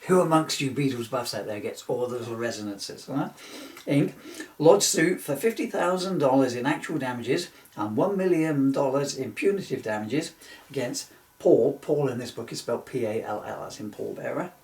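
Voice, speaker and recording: A man's voice: mid-range voice, animated, moderate pace, a little mellow. A man giving an informal comic reading, rambling with asides and prone to giggling at his own material. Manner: rambling, often breaking into giggles at own jokes. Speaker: author reading his parody book. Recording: roomy echo, distant microphone.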